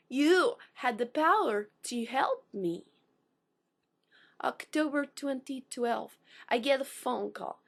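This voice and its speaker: Woman in her 30s, kinda high voice, speech a little unusual, slow careful spoken word poem. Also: sharp tone. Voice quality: slightly unusual speech, sometimes taken for a foreign accent. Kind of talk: personal intro, then spoken word poem.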